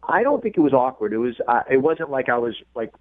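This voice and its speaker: A male caller on a thin, narrow phone line, medium in pitch. He talks casually and explains himself with a defensive, hedging manner. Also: sing-song, fast talker, no echo. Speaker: radio call-in guest. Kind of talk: casual phone conversation, recounting. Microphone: telephone.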